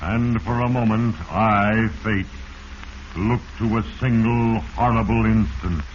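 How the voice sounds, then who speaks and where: Ominous, slow, low-voiced Fate. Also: male voice, fairly even intonation. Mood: ominous, solemn. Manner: ominous, portentous, theatrical. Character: Fate, solemn all-knowing narrator reading men's doomed lives. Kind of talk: 1940s radio drama narration. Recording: muffled, hissy 1940s radio recording.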